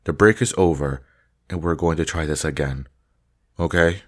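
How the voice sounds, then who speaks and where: Guy doing a strict snooty vampire trainer, really low voice, formal n blunt, audio roleplay. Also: normal speed. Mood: brisk, strict. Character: stern, haughty male vampire combat master. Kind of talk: roleplay audio drama acting.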